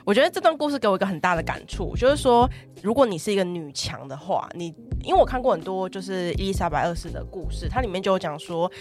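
A woman's voice: mid-range voice, animated, fast talker, hardly any pauses, a little mellow, soft background music. A woman with a Taiwanese Mandarin accent, a podcast co-host who reflects on the story and shares her own takeaways. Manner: reflective, sharing personal takeaways. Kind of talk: casual podcast chat.

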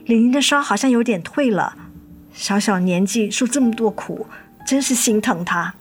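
A woman acting a gentle, weary, loving middle-aged mother in a radio drama, soothing and affectionate. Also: on the high side, normal speed, close to an everyday voice. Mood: concerned. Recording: music under the voice.

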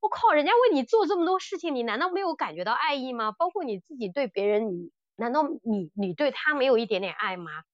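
A middle-aged woman talks casually as a podcast host, with a fairly high voice. She is animated and opinionated in her delivery. The sound is very dry and a little dull on top. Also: fast, few pauses, dry recording. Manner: animated and blunt, mimics characters' lines. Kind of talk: casual chat reviewing audio dramas.

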